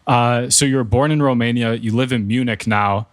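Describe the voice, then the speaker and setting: A man's voice: medium pitch, fairly even intonation, moderate pace. A man hosting a podcast, asking questions in a casual, upbeat, slangy way. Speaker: podcast host. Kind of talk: casual interview.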